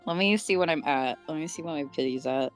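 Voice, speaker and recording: A woman's voice: low voice, fast, clean tone, soft background music. A woman chatting casually and playfully while gaming, with plenty of swearing. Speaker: gamer friend pulling alongside.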